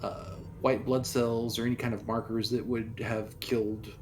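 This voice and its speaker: Mid-pitched man playing a tabletop game. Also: level tone, moderate pace. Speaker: tabletop role-play player. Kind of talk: casual in-character role-play and table talk. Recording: music playing behind the voice. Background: music.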